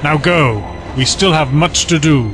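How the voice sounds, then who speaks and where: A man voicing a cold, scheming male villain in an audio drama, commanding and measured. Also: a little high, music in the background, lightly acted. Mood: gloating.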